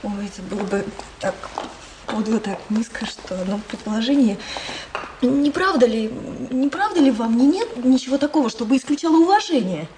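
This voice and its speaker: An actress playing a passionate, anguished young princess in love, agitated and pleading, in a theatrical radio play. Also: female voice, a little high, animated, moderate pace, background noise, lightly acted. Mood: hurt, trembling.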